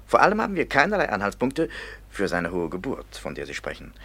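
A man's voice: fairly low pitch, wildly swooping pitch, a little fast, vocal fry, pushed and bright, slightly rough, exaggerated voice. A man playing a sceptical, needling police commissioner in a radio play, dry and sardonic in manner. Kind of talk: German radio play acting.

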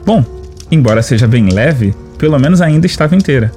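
A man's voice: mid-range voice, lively intonation, brisk, dark tone, natural voice. Man acting a hopeful young-hearted adventurer, music behind. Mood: grateful, musing. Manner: musing aloud, murmuring to himself. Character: naive, hopeful male adventurer in shining armor. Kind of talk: audio drama acting.

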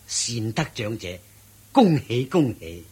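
Dignified old-world prince in a radio play, hong kong cantonese accent, husky, slow swooping delivery, effusive. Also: male voice, mid-range voice, very animated, darkish tone, heavily acted. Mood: effusive praise. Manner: stately, effusive. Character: genial, dignified royal lord and official guest. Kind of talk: radio drama acting.

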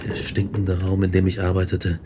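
A haunted man of science as a man acts him in a radio play, confessing in a low, grave voice. He sounds brooding and despairing, over loud background noise. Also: monotone, moderate pace, natural voice. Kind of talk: radio drama narration.

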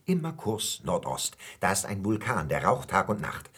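An actor playing a spiteful, scheming lecher in a radio drama, conspiratorial and goading. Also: male voice, deep voice, sing-song, normal speed, a bit bright, husky, heavily acted. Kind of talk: German radio drama acting.

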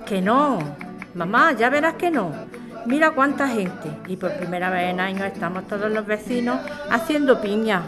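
A woman's voice: mid-range voice, lively intonation, moderate pace, close to an everyday voice. Hopeful grown daughter over guitar music, woman acting. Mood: reassuring. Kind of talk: audio drama acting.

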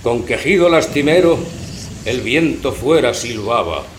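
A man recites comic verse as a theatrical narrator, unhurried and dramatic, at a medium pitch. The room echoes and there is clear noise around his voice. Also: expressive. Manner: dramatic, declamatory, drawn-out phrasing. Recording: roomy echo, loud background noise.

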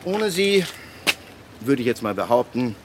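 An actor playing a government official in a radio play, telling a case emphatically with dramatic pauses and acted-out dialogue. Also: male voice, mid-range voice, very animated, background noise. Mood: wry, resigned. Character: animated male government official recounting a land restitution case. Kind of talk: conversational monologue in a radio play.

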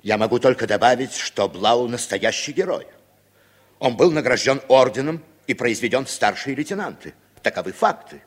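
Husky, evasive, sardonic writer. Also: male voice, mid-range voice, expressive, normal speed. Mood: defiant, sardonic. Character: American writer, evasive, defensive witness with sardonic streak. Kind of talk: 1960s Soviet radio drama acting.

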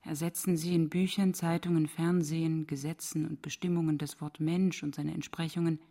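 An actress playing a defiant, sardonic woman scholar in a radio play, speaking deliberately and provocatively. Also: sits low, flat delivery, mellow. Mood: cool, mocking.

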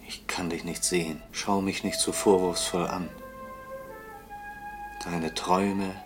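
An actor playing a bitter, accusing grown son, brooding and deliberate, in 1950s German radio drama style. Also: male voice, low-pitched.

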